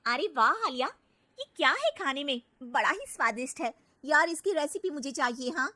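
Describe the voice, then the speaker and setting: A woman voicing an excitable, outspoken young city socialite in an acted story. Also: high voice, normal speed, voice pushed up, full character voice. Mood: gushing. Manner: gushing, outspoken. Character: excitable, outspoken young city women, wife's party friends.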